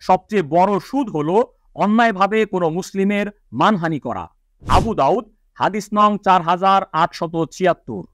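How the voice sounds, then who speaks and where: A man's voice: sits high, lively intonation, normal speed, fairly bright tone, no room sound. A man presenting an Islamic lecture to viewers, speaking formally and didactically as he cites scripture. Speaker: presenter of an Islamic educational video. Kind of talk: religious lecture to viewers, citing hadith and scripture.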